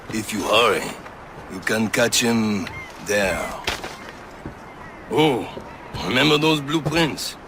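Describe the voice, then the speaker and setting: A genial gentleman informant for a game. He speaks in a lowish voice and takes his time, measured and courtly. Clear ambient noise sits behind him. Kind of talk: video game cutscene acting.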